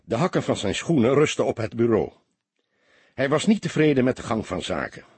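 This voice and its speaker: Husky-voiced male audiobook narrator. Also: medium pitch, dry recording. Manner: measured, expressive storytelling. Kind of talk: scripted narration of a detective novel.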